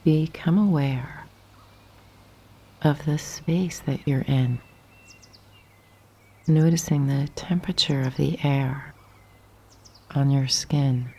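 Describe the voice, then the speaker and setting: Breathy, low, slow-speaking woman guiding meditation. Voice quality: soft, breathy, half-whispered. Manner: gentle, calm instruction with long pauses. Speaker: meditation guide. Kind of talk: guided yoga nidra meditation. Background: birdsong and nature sounds.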